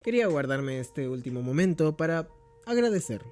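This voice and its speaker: A man's voice: a little high, big pitch swings, moderate pace, a little mellow. A man with an Argentine accent reading a scripted analysis in an earnest, heartfelt, reflective way, with faint music behind him. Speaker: video essayist.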